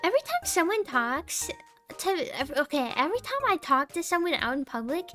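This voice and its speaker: A young woman with a small, sweet voice, chatting casually on a live stream, rambling and giggling between deadpan jokes. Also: very high voice, a little fast, soft background music.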